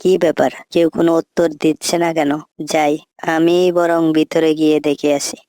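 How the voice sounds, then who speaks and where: A woman's voice: sits low, little pitch movement, moderate pace, mellow. A woman voicing a trusting, simple-minded crocodile parent in a children's cartoon fable, earnest and plainspoken. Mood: puzzled, alarmed.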